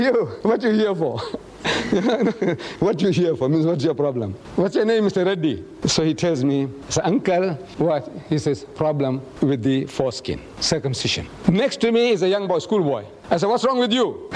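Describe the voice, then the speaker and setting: A man's voice: sits high, darkish tone, a little hiss or hum. An older man, telling chatty anecdotes to a group and acting out both sides of the dialogue. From South Africa.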